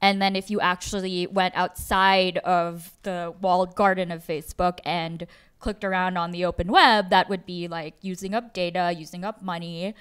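A woman's voice: lowish voice, steady tone, moderate pace, fairly bright tone, smooth. A woman in her thirties from the Philippines, a native Tagalog speaker, answers conversationally as a guest journalist on stage, thinking aloud hesitantly into a handheld mic. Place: auditorium stage. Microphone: handheld microphone held at chest.

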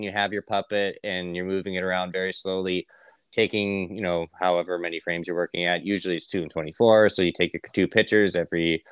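A man's voice: sits low, a bit bright, clean tone, no echo. A man speaking as a podcast guest, explaining things in an informal, enthusiastic way. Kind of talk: casual chat, explaining.

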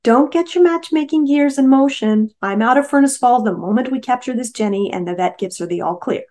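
A woman voicing a guarded, brisk female animal rescuer in a novel reading. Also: a little high, a little mellow, pitched above the performer's own voice, close to an everyday voice.

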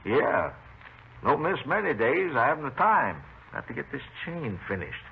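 An actor playing a garrulous, folksy, meticulous retired railroad engineer who carves wood, in a 1950s radio drama. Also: male voice, medium pitch, very animated, normal speed, vocal fry. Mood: chatty, genial. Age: older. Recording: noisy old radio recording, narrow phone-like band.

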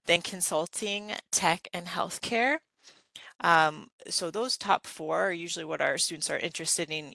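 A woman's voice: deep voice, gravelly, bright, forceful tone, no echo, poor audio. A woman presenting a graduate business program in a webinar, talking through slides in a conversational way with many fillers.

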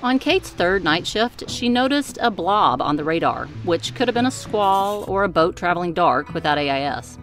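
A woman giving calm, measured scripted voice-over narration for a family sailing vlog. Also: lowish voice, over music. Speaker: vlogger narrating a sailing trip.

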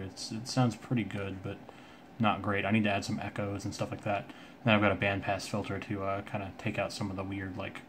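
Male hobbyist presenter, fairly low and unvaried, hesitant off-the-cuff delivery, slight room noise. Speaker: IT technician and hobbyist demonstrating a project. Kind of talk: casual unscripted project walkthrough. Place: indoors at a home desk. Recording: light background room noise.

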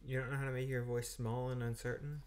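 A man's voice: mid-range voice, level tone, fast, a crowd in the background. A man casually coaching a performer through line readings as an audio drama director. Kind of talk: casual coaching during a voice recording session.

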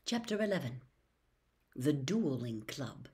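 An older woman in her sixties reading a novel aloud in an expressive, theatrical storytelling style. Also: extremely low pitch, takes it slowly. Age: older, 60s. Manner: theatrical, acts out dialogue. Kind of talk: expressive audiobook-style narration. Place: small bedroom at home.

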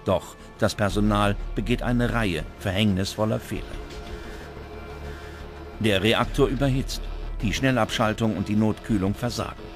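A man reading scripted documentary narration in a measured, dramatic way, recorded as a studio voice-over. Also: fairly low pitch, music in the background.

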